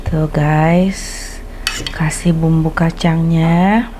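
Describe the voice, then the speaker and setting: A young woman chats through a food tasting at an easy, unhurried pace, savoring and describing each bite. Dishes and pans clatter clearly behind her in the restaurant. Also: low-pitched, steady tone, noisy background. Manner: chatty, savoring and commenting while tasting. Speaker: food vlogger host. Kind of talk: casual food review chat.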